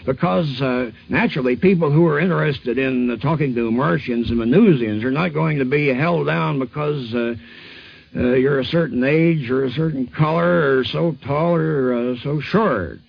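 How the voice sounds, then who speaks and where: A man's voice: medium pitch, normal speed, vocal fry, slightly rough. A man speaking as an expert in an unscripted 1950s radio interview, rambling and dryly humorous. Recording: muffled 1950s radio recording.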